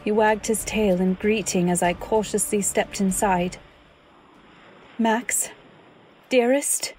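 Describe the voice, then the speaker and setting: A woman's voice: mid-range voice, steady tone, slow, a little mellow, soft background music, lightly acted, low-quality recording. A woman playing an anxious, devoted wife who narrates her story in dramatic old-time radio style. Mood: somber. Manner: dramatic, earnest delivery. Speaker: narrator and actor.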